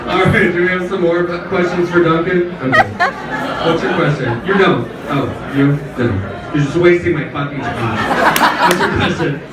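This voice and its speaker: A man's voice: on the high side, normal speed, very noisy. A man hosting a live audience Q&A at a club, teasing the guests in a brash, campy, profane way. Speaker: host. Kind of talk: live Q&A panel. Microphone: far audience camera. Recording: echoey hall from far off, loud crowd.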